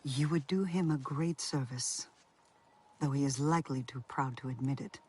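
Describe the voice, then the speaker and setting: A man playing a timid, guilt-ridden alien in a video game, husky and confiding in a hesitant way. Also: medium pitch, normal speed, darkish tone, lightly acted. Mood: worried. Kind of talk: video game cutscene acting.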